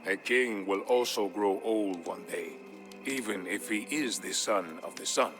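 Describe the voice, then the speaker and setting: A man's voice: fairly low pitch, a little slow, fairly bright tone, soft background music. A man voicing a kindly, gray-haired old man who shares his people's history in an amicable, wise way for a game story. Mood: kindly, wistful.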